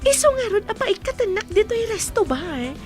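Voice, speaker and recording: Woman acting very high-pitched scheming young Ilocano mistress. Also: big pitch swings, a little fast, music in the background. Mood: sweet, delighted. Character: scheming pregnant young mistress, sweetly affectionate, possessive. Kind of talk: Ilocano radio comedy drama acting.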